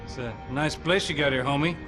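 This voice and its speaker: An actor playing a cocky, sociable ex-cop turned bodyguard in a video game, streetwise and persuasive. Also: male voice, on the high side, fairly quick, close to an everyday voice. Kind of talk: video game cutscene acting. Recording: music playing loudly behind.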